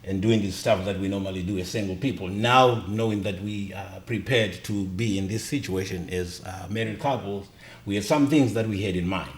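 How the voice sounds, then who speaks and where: A man's voice: on the low side, normal speed, echoey room. A middle-aged man from Zimbabwe, talking earnestly as a podcast guest and repeating key phrases for emphasis. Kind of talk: casual conversation.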